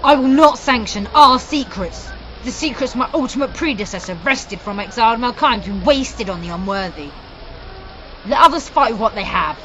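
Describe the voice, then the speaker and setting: A woman's voice: fairly high pitch, lively intonation, normal speed, pushed and bright, dull audio, soft background music. A woman playing an imperious, stern leader of an alchemist sisterhood in an audio drama. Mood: haughty refusal. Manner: stern, haughty. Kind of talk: fan audio drama acting.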